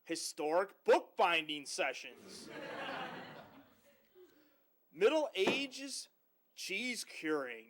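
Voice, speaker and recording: A cheery advert pitchman played by a man sells a miserable summer camp in a comedy spoof. The voice sits high and swings widely in pitch. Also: sing-song, drawn-out words, pauses often, crowd noise, exaggerated voice. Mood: mock cheerful.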